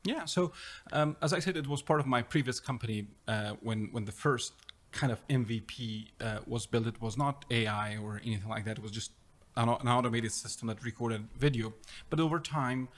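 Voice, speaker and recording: A man's voice: mid-range voice, moderate pace, hardly any pauses. A middle-aged man from Afghanistan, a startup founder telling his story in an interview, with many fillers and restarts. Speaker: startup co-founder and CEO, guest. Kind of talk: conversational interview.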